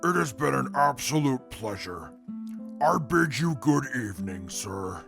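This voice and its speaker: Slow, gravelly, courteous formal man, acted. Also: medium pitch, sing-song, music in the background. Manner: formal, polite, deliberate. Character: courteous, formal, measured male guest of the mayor. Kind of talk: post-apocalyptic audio drama acting.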